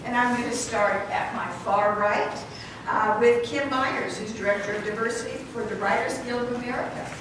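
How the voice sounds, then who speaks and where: A woman's voice: on the high side, steady tone, moderate pace. A woman moderating an evening panel in a hotel event room, joking and laughing often. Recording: echoey, noisy room from far off.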